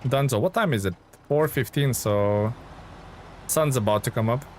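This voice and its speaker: A man's voice: mid-range voice, moderate pace. Man streaming casually over engine noise. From Lithuania. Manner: friendly, informal, thinks aloud. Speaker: video game livestreamer. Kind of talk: casual gaming stream chat and commentary. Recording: clear vehicle and engine noise behind. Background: truck game sounds.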